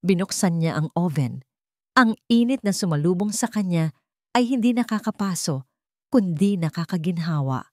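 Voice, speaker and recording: A woman's voice: on the low side, animated, moderate pace, no echo, clean studio sound. A woman reading a dramatic love story as an audiobook narrator.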